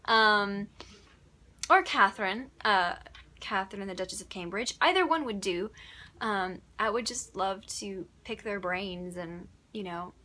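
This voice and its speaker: A woman's voice: medium pitch, bright, forceful tone. A young woman from upstate New York, an audiobook narrator, chatting through Q&A answers with plenty of ums and little laughs. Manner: chatty, giggly, many ums. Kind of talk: casual Q&A answers.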